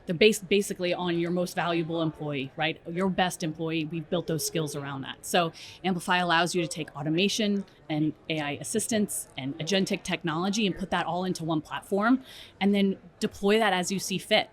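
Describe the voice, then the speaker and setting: Low-pitched woman explaining in an interview. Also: a little fast. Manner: explanatory, often checks with "right?". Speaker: interview guest, strategy director at a software company. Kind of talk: conversational expert interview.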